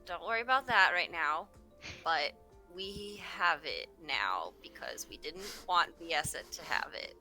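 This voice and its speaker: Woman doing a secretive, coaxing heroine, bright and slow-spoken. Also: deep voice, big pitch swings, faint music behind, voice pushed down, full character voice. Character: secretive, pleading young woman adventurer.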